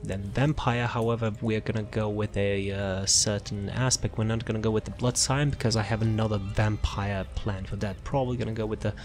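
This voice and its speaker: A man's voice: fairly low pitch, fairly even intonation, fairly quick, hardly any pauses, a little mellow, a little hiss or hum. A man hosting a gaming video, talking casually through a character build in a rambling, self-interrupting way and often trailing off. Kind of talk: casual game build walkthrough commentary.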